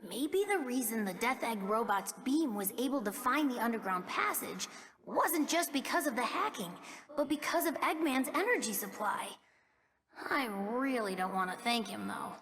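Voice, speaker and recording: Earnest young boy fox sidekick, high voice, thoughtful game delivery, long reverb. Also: lively intonation, normal speed, a bit bright. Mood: grudging. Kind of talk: video game cutscene acting.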